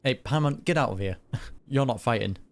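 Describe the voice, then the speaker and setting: A man's voice: mid-range voice, lively intonation, normal speed, creaky, a little hiss or hum. A young man reacting to a game as he plays, with casual, jokey, slangy commentary. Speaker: gaming reaction host.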